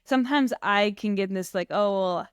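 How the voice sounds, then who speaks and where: A woman's voice: medium pitch, normal speed, smooth, no room sound, dull audio. A woman hosting a podcast, asking reflective, encouraging questions. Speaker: podcast host. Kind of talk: interview questions.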